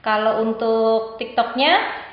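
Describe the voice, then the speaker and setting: A woman hosting an interview podcast, attentive, with frequent soft backchannels. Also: on the high side, slow, slight background noise. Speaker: podcast host. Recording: very echoey, dull podcast audio.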